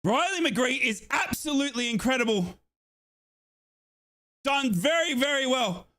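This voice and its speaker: A man from Australia streaming a football watchalong, cheering and yelling excitedly, his voice hoarse from shouting. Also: extremely high pitch, unhurried. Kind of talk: excited fan commentary.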